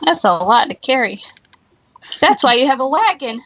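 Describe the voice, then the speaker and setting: Female co-host, casual conversational delivery, higher register, very dry sound. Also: sing-song, normal speed, a bit bright, slightly rough, no room sound, muffled recording. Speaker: live show co-host. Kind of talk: casual chat.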